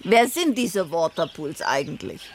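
A woman's voice: on the low side, big pitch swings, unhurried, background noise. A woman playing an observant, inquisitive English lady with matter-of-fact remarks in a German radio drama. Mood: curious.

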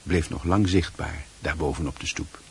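Low-voiced male radio drama narrator. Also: moderate pace, slight background noise.

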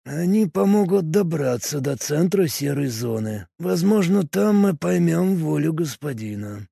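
A solemn cult zealot, as a male audiobook reader puts him on, murmuring in a gravelly, husky undertone. He talks slowly and quietly, like a sermon, in a dry, close studio sound. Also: very animated, no echo, full character voice. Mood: solemn preaching. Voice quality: low, creaky, husky murmur. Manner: slow, hushed, preaching delivery. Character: fanatical male cult adept, calm, solemn. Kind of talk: audiobook character voice.

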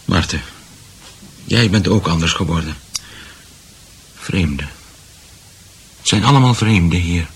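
Deep-voiced, grumbling ageing householder. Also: male voice, animated, husky, exaggerated voice. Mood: uneasy, grumbling. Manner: grumbling, halting, repeating himself. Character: ageing, put-upon householder, suspicious and proud of garden. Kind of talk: Dutch radio drama acting.

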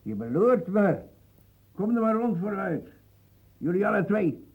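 An actor playing a gruff, suspicious old ex-soldier, muttering and defiant, in a radio play. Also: male voice, sits high, very animated, measured pace, narrow, tinny audio, full character voice. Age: older.

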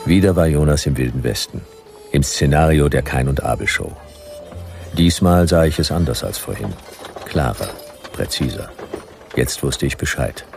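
An actor playing a hard-boiled, wary detective hero in a radio drama, defiant, speaking in terse, clipped phrases. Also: male voice, rumbling low, expressive, moderate pace, gravelly, music in the background, full character voice. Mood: grim narration. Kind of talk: radio drama acting with noir narration.

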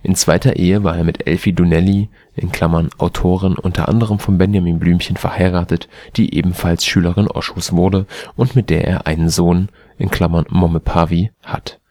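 A man with a standard German accent, reading an encyclopedia article aloud in a steady, careful way. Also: deep voice, normal speed, hardly any pauses, no room sound, studio quality. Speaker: volunteer reader of a spoken encyclopedia article. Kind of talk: scripted read-aloud narration.

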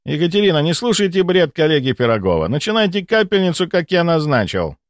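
A male narrator acts out a smug, sarcastic senior doctor for an audiobook. The voice rides high and swings theatrically, full of mockery and self-importance. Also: sing-song, brisk, no echo, studio quality. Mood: curt, commanding.

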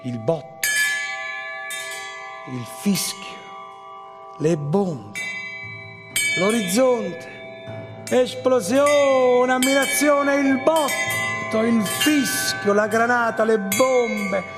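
A dreamy witness to nights of war, narrating dramatically and repeating phrases like an incantation. His voice runs high for a man, with loud music all around it. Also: extremely slow, pauses often, heavily acted. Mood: incantatory. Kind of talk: theatrical, incantatory scripted narration. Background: loud percussion, piano and accordion music.

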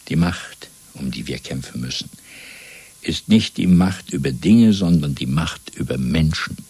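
An actor playing a coldly domineering party interrogator in a German radio play, lecturing in a measured, zealous way with a gravelly, husky voice. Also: male voice, very deep voice, expressive, no room sound, heavily acted. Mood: menacing, exalted. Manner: measured, lecturing, swelling from hushed to fervent.

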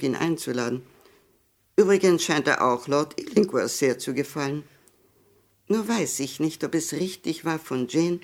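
Snobbish old society lady. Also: low-pitched. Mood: musing disapproval. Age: older. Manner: condescending, hesitant, rambling. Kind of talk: 1960s German radio play acting.

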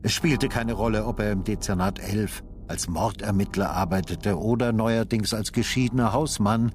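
An actor playing a sober narrator of a detective story in a literary radio drama. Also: male voice, fairly low pitch, normal speed, natural voice. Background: music.

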